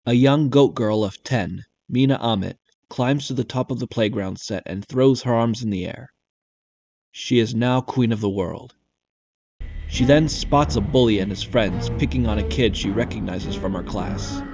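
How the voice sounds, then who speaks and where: A man's voice: on the low side, normal speed, darkish tone, slightly rough, no echo, soft background music. A man narrating a scripted audio drama in the third person, as a storyteller.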